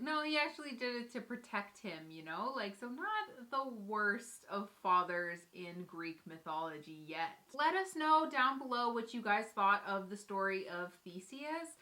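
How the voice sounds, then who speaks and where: A woman's voice: medium pitch, bright, forceful tone. A woman chatting casually as a host, informal and speaking directly to her viewers. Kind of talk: casual chat.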